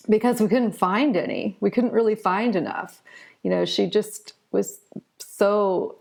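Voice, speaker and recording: A woman's voice: mid-range voice, gravelly, darkish tone. A middle-aged woman telling personal stories conversationally as an interview guest. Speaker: interview guest, children's author.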